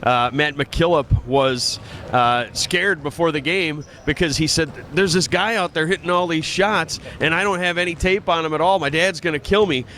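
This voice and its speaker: A man's voice: on the high side, normal speed, sharp tone, a little hiss or hum. A man working as a sports play-by-play announcer, conducting an interview with some stops and starts.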